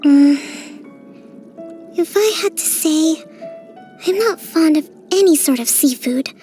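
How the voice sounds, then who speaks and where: An actress voicing a young female island priestess and military strategist in a game, speaking in a gentle, composed, softly playful way. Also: high-pitched, expressive, takes it slowly, faint music behind. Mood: cheerful. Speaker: game character. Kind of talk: game voice lines.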